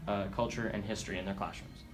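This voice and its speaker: A man interviewing students, reading plain questions briskly. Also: lowish voice, fairly even intonation, music in the background. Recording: noisy background ambience.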